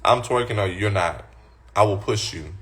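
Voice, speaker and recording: A man's voice: on the low side, normal speed, a bit bright. A man hosting a casual livestream, bluntly and sassily answering viewer comments from his phone. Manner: blunt, sassy, talks back to commenters. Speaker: livestream host.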